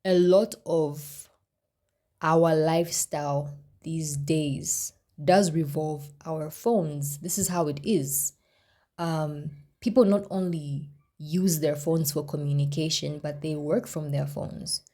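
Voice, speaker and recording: A young woman from Zambia, chatting casually to camera in her vlog, expressive and pleading at times. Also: deep voice, takes it slowly. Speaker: vlogger.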